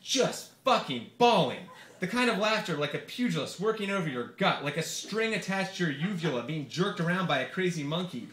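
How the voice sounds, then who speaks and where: In an echoey, noisy bar, a man reads a comic essay to a live audience. His voice sits a little high, and he delivers it theatrically, like a gonzo storyteller. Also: animated, fairly quick, a bit bright. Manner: theatrical comic delivery, swearing, mimicking quoted speakers. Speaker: author reading own essay aloud. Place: live reading event in a bar, before an audience. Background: audience laughter, room noise.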